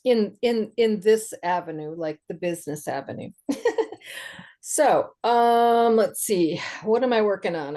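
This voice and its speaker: A woman introducing herself hesitantly on a video call, soft-spoken, with many pauses and fillers. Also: a little high. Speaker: event attendee.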